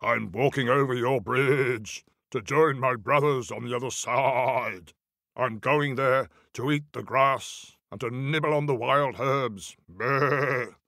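A man's voice: medium pitch, slow, gravelly, fairly bright tone, no room sound, clean studio sound. A man voicing the biggest, oldest billy goat brother, bold and bleating, in a storybook tale. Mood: bold, defiant. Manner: bleats between firm statements.

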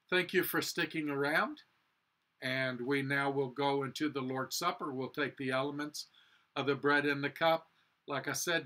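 Slow, bright-voiced older male pastor. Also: mid-range voice. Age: 60s. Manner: earnest, deliberate, with short measured phrases. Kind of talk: sermon and prayer. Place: indoor room with a cross, small chapel or office.

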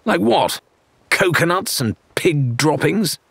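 A man's voice: fairly high pitch, big pitch swings, slow pace, creaky, a bit bright, slightly rough, no room sound, voice pushed up, full character voice. A man voicing an argumentative, sardonic pirate crewman in an audiobook, with a mocking, eager manner. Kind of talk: audiobook character dialogue.

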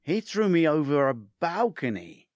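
A man's voice: fairly high pitch, big pitch swings, a little slow, a little mellow, no room sound, studio quality, pitched above the performer's own voice. A man voicing an injured, sardonic male investigator in an audiobook, terse and questioning. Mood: insistent. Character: injured groggy male investigator, blunt, sardonic, demanding answers.

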